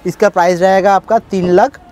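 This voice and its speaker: A man gives a used-car sales pitch. He repeats figures with emphasis, recorded on location with some noise behind him. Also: steady tone, brisk, a little mellow. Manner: repetitive, emphatic about prices. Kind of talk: sales presentation of cars on camera. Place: used-car lot in Kolkata.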